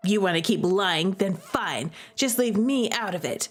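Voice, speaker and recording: A woman's voice: medium pitch, animated, a little slow, faint music behind. A woman voicing an angry, defensive teenage brother who talks in sullen, accusing bursts in a game read-through.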